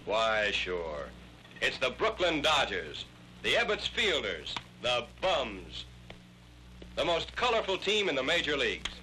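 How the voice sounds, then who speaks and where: A man's voice: medium pitch, wildly swooping pitch, a little slow, pushed and bright. An American man narrating a scripted 1940s sports newsreel in a jaunty, wry, wisecracking manner. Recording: noisy vintage 1940s newsreel soundtrack.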